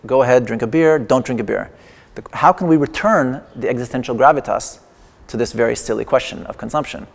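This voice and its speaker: Gravelly-voiced man lecturing in an echoing hall. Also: mid-range voice, brisk. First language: Persian. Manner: wry, digressive, with playful humor. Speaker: artist giving a lecture performance. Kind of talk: lecture performance. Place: large exhibition hall in a museum. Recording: long echoing hall reverb.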